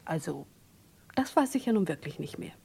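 An actress playing a chatty dog sitter being questioned in a German crime radio play. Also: female voice, low voice, big pitch swings, moderate pace, gravelly, heavy background noise, exaggerated voice. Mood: gossipy, vague.

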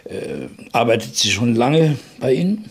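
An actor playing a methodical middle-aged police inspector in an old radio crime play, probing and musing between questions. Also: male voice, medium pitch, sing-song, a little slow, creaky, breathy edge, heavily acted. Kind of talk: 1970 German radio play acting.

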